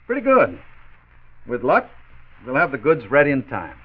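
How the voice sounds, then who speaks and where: A man's voice: medium pitch, wildly swooping pitch, normal speed, breathy edge. An actor playing a loyal, upbeat, plain-spoken factory foreman in a 1940s radio drama. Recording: muffled, band-limited 1940s radio recording.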